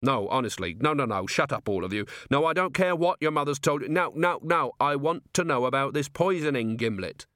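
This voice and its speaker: A man's voice: medium pitch, expressive, a little fast, few pauses, fairly bright tone, no echo, clean studio sound, pitched above the performer's own voice, natural voice. A man voicing an earnest, patient city watchman in an audiobook, asking questions in a polite, matter-of-fact way. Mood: exasperated. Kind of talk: audiobook character voice.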